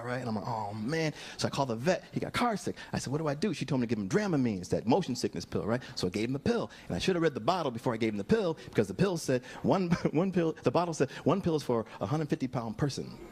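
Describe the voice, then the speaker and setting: A man's voice: mid-range voice, big pitch swings, fast talker, few pauses, breathy edge. A man doing a stand-up comedy set on a club stage, telling laid-back stories and acting out quotes, into a handheld mic. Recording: noisy live club recording. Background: audience laughter.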